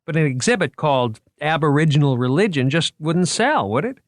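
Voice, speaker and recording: A man's voice: a little high, expressive, fairly quick, no echo, clean studio sound, pitched above the performer's own voice, natural voice. A man reading an audiobook, voicing an eager and nervous young male museum curator who stammers when flustered. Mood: persuasive. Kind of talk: audiobook character voice.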